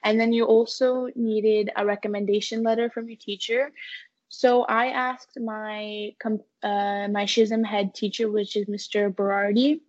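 A young woman speaks as an interview guest. Her delivery is earnest and conversational, with little pitch variation. The recording is somewhat dull and low in fidelity. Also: level tone, measured pace, darkish tone. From United States-born, living in Canada. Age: teens to young adult.

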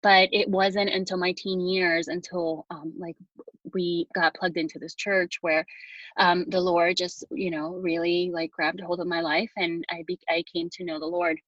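A woman in her thirties tells her story as a podcast guest, full of ums and restarts. Her voice sits a little low and the audio is dry and slightly muffled, like a remote call. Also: flat delivery, normal speed, fairly bright tone, no echo. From Venezuela. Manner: chatty, many fillers and restarts. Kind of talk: casual conversation, personal story.

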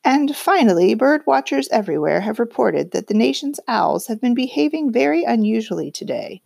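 A woman's voice: fairly high pitch, gravelly, darkish tone, husky, no room sound, pitched above the performer's own voice, exaggerated voice. A woman voicing a male TV newscaster in a read-aloud story, with an upbeat broadcast delivery. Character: male TV newscaster, polished and bright.

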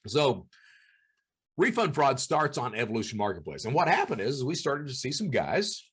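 A man telling crime stories in a casual monologue, acting out the people he quotes in a high voice. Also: very animated, normal speed, fairly bright tone, husky. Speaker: former cybercriminal host. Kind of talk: storytelling monologue.

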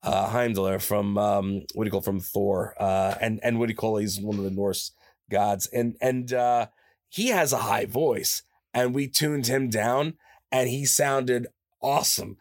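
Rambling animated man, dry close studio mic. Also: measured pace, dry recording. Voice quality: higher-pitched natural voice. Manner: rambling, animated storyteller, repeats himself. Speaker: voice actor guest. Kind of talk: casual interview chat. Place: his own studio. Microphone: studio microphone, close.

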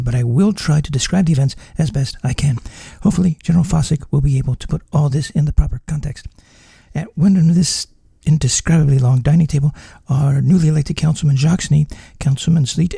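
A man voicing a serious male field reporter who describes a tense meeting in a hushed, careful way. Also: fairly high pitch, quick pace, creaky, breathy edge, no room sound, exaggerated voice. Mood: grave. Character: measured, serious male reporter outside a mansion.

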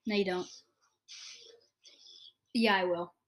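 A woman's voice: medium pitch, steady tone, slow. A woman giving casual, informal gaming commentary as a podcast host, reacting aloud in short blurted guesses.

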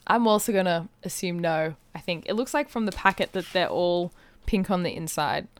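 A woman co-hosting a podcast in a chatty, playful, casual way, with animated reactions. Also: fairly low pitch, normal speed. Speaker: podcast co-host. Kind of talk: casual podcast chat, live taste test.

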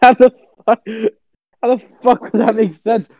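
Podcast co-host, male, high voice, dry and dull recording, laughs a lot, incredulous. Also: flat delivery, normal speed, a little mellow, dry recording. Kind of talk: improvised comedy banter.

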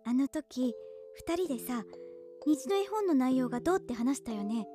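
A woman acting an earnest, gentle teenage girl who paints, speaking softly and sincerely in a Japanese radio drama. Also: high voice, animated, normal speed. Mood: tender, reminiscing. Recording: studio drama with music underneath.

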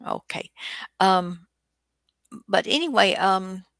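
A woman's voice: mid-range voice, expressive, slow pace, vocal fry, a bit bright, no room sound, clean studio sound. A woman hosting a radio show, chatting casually in a rambling, hesitant way. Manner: rambling, hesitant, reminiscing delivery. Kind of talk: casual chat.

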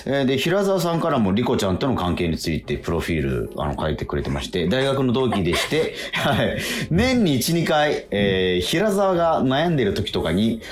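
A man's voice: medium pitch, huge pitch swings, normal speed. A man co-hosting a radio show in casual, chatty talk with frequent little interjections. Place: radio studio. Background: background music.

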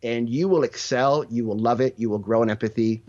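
A man's voice: medium pitch, brisk, darkish tone, lo-fi sound. A man speaking casually as an interview guest, telling anecdotes and voicing bits of dialogue. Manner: anecdotal, acts out quoted dialogue. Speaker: interview guest, leadership mentor. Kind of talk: casual conversational storytelling.

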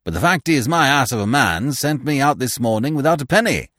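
A man's voice: medium pitch, animated, fairly quick, a bit bright, no room sound, clean studio sound, voice pushed up, lightly acted. A man voicing a jovial, hearty sponger in a storybook, with effusive, exclamatory old-chap friendliness. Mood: chummy, wheedling.

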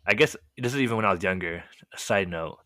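Deep-voiced young man telling stories casually. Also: fast talker, no echo, studio quality. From United States. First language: Korean. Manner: relaxed, rambling, heavy use of "like" and "um" fillers. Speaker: podcast guest telling his life story. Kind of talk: casual storytelling chat.

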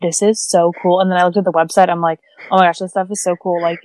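A woman's voice: lowish voice, flat delivery, fast, a little mellow, no room sound. A woman from Northern California hosting a casual interview podcast, bubbly and full of "like"s. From Northern California / Northern Nevada. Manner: bubbly, says "like" a lot. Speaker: podcast host.

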